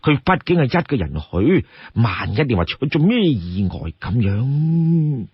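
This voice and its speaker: A man voicing a cautious, deliberate chief of an outlaw band in a storybook tale. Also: mid-range voice, big pitch swings, husky, no echo, lo-fi sound, exaggerated voice. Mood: worried, hesitant.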